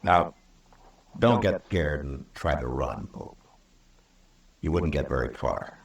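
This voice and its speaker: A male actor playing a stern, fair-minded American frontier marshal in a 1950s radio western, speaking firmly and deliberately. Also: rumbling low, expressive, gravelly, slight background noise, exaggerated voice. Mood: grave, measured. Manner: firm, deliberate, authoritative. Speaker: lead actor and narrator. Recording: old radio recording, roomy and distant.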